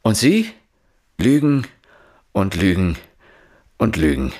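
An actor playing a weary, accusing police inspector in a radio drama, speaking insistently and deliberately. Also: male voice, sits low, very animated, darkish tone, full character voice. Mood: accusing, pressing. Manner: insistent, deliberate, bitterly rhetorical. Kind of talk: German radio drama acting.

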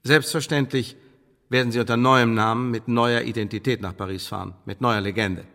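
An actor playing a coolly menacing English intelligence official in a radio play, speaking in formal, measured, condescending bureaucratic phrases. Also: male voice, medium pitch, fairly even intonation, a bit bright, natural voice. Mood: smooth, condescending. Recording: studio radio play with long reverb.

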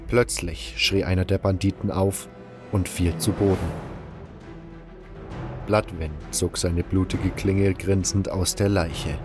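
A man's voice: deep voice, a little mellow. A man narrating a scripted fantasy story in the first person as its narrator. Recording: music behind the voice. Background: music.

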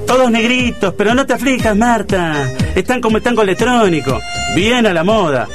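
Old Rioplatense grouch, high-pitched, theatrical, slangy, comic radio drama over music. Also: male voice, lively intonation, normal speed. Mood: complaining. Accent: Argentine Rioplatense. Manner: melodramatic, old-fashioned slang. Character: stiff, grumbling old Argentine husband and tango purist. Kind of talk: comic radio play acting.

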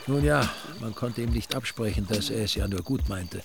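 Crime radio play narrator: Austrian, deep-set gravelly voice, dry and ironic. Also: male voice, normal speed, loud music behind the voice. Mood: dryly ironic. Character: wry, ironic male storyteller of a village crime tale. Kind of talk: scripted radio drama narration.

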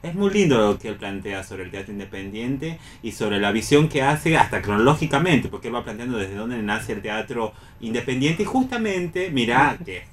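A man's voice: medium pitch, sing-song, normal speed, hardly any pauses, a little hiss or hum. A man with an Argentine accent hosting a casual radio discussion about the arts, talking in a discursive, reflective way. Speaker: radio show host.

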